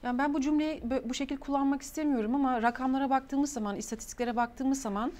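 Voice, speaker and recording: A young woman from Limburg in Belgium, answering interview questions in a thoughtful, measured way. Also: high voice, steady tone, darkish tone. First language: Turkish heritage, grew up speaking several languages. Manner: thoughtful, measured, switches between languages. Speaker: interview guest.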